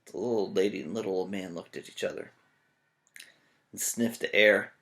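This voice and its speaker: A man reading a children's story aloud as a teacher, expressive and full of playful joking asides. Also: sits low, normal speed, vocal fry.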